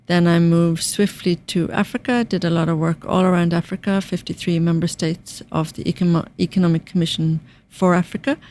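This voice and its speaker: A woman in her fifties from Ireland, with a softened Irish accent, answering interview questions in a measured way and listing items at length. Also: on the low side, little pitch movement, a little hiss or hum. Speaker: UN finance director guest.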